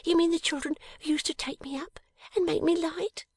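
A woman voicing a small young dressmaker girl in a much higher, bright, eager voice, often half-whispered. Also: fairly even intonation, a little fast, dry recording. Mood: tender, eager. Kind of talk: audiobook character voice.

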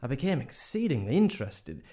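A genial country neighbour voiced by a man gives his account in a radio drama. He is chatty and reasonable, with a mid-range voice and lively intonation. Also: medium pitch, a little fast, lightly acted. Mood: chatty, intrigued. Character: genial country neighbour, interested in picturesque local ghost lore.

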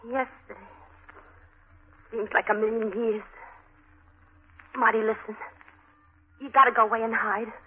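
A woman's voice: a little high, fairly even intonation, measured pace, pauses often, breathy edge. An actress playing a worn-out, wistful, streetwise New York woman in a 1940s radio drama. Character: worn-out, streetwise slum woman, gangster's old sweetheart, wistful and sad. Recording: noisy, muffled old radio broadcast.

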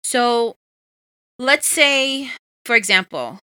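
A woman's voice: fairly high pitch, animated, very slow, sharp tone, clear, resonant tone, no echo. A woman co-hosting a podcast in casual chat, steering the discussion as she talks. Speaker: podcast co-host.

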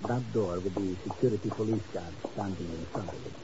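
An actor in a 1950s radio drama playing a suave European baron with florid, flattering, elaborately courteous talk. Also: male voice, low voice, a little fast. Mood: pleased. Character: suave, flowery, theatrically gallant European baron and rogue. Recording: noisy, muffled old radio recording.

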